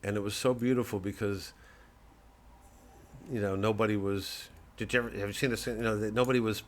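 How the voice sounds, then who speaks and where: A man giving a rambling, digressive comedian's monologue, halting and sardonic, peppered with casual filler phrases. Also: fairly low pitch, steady tone, slight background noise. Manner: sardonic, mocking, profane when worked up. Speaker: comedian promoting his show.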